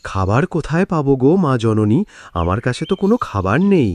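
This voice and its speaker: A man voicing a lazy, sleepy, good-natured farmer in a cartoon moral story, with whiny, drawn-out exclamations. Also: mid-range voice, very animated, lightly acted. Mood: plaintive. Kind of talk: animated moral story voice acting.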